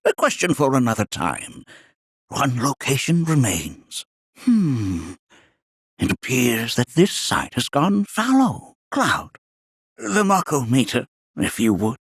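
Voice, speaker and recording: An actor voicing a wise, kindly old scholar in a video game, with a raspy, aged voice and a ponderous, formal manner. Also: male voice, medium pitch, very animated, slow pace, no echo, studio quality, full character voice. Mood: thoughtful. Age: older. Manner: ponderous, formal, archaic storyteller phrasing. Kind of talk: video game character voice acting.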